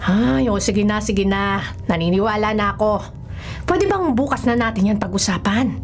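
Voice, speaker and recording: A woman's voice: mid-range voice, expressive, normal speed, slight background noise, lightly acted. A woman playing a fussy, no-nonsense nanny in a radio drama, scolding and soothing in an exasperated way. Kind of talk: radio horror drama acting. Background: background music.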